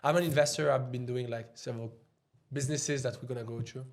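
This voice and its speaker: A man's voice: medium pitch, steady tone. Swiss French man, rambling casual talk. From Switzerland. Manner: loose and halting, heavy on "like" fillers. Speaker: podcast guest, trader.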